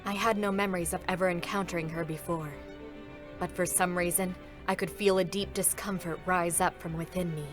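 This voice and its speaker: A woman's voice: on the low side, natural voice. 2B, a woman voicing a stoic combat android. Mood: restrained. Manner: calm, measured narration. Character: reserved female combat android, composed and stoic. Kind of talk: live concert reading of game scenes. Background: faint music.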